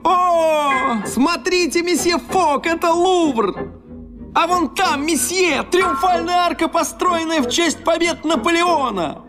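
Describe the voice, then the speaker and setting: An excitable little cartoon valet, with an extremely high voice. He exclaims eagerly over clear background music. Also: slow. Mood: delighted. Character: excitable small young male valet and sidekick. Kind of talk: dubbed cartoon acting.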